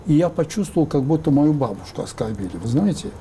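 An older man from Baku, raised speaking both Azerbaijani and Russian, thoughtfully recalling the past in an interview with many pauses and fillers. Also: fairly high pitch, animated, warm tone, slight background noise. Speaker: interview guest. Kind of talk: reflective interview talk.

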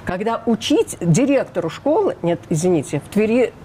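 Older female guest, mellow timbre, indignant and emphatic. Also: medium pitch, sing-song, normal speed, a little hiss or hum. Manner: emphatic, indignant, rhetorical questions. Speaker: interview guest, refugee rights advocate. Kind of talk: radio interview.

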